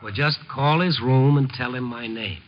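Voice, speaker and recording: An actor playing a wry, world-weary newspaper reporter in a 1950s radio drama, narrating and talking in a dry, wisecracking way. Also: male voice, mid-range voice, normal speed, a little hiss or hum, lightly acted. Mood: impatient, insistent. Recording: muffled old 1950s radio broadcast.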